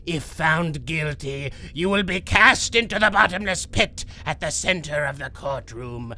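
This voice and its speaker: Sharp, piercing mole-man bailiff. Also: on the high side, a little hiss or hum, heavily acted. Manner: pompous, ceremonious proclaiming. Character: grandiose mole-man court bailiff, solemnly proclaiming. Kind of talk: adventure audio drama acting.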